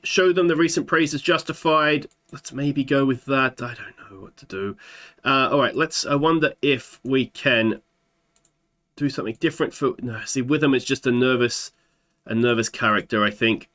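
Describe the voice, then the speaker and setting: A man's voice: medium pitch, normal speed, a bit bright. An Australian man in his thirties with a slight sore throat, chatting through a football management game at his desk mic, thinking aloud with fillers. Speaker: gaming YouTuber. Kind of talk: let's play commentary.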